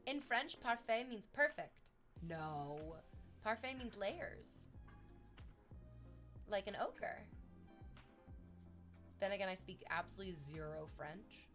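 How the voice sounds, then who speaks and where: Relaxed, chatty woman livestreaming, drifting between talk with her viewers and sweet baby talk to her dog. Her voice sits at an ordinary middle pitch. Soft music plays low behind her. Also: slow pace, pauses often. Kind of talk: casual livestream chat.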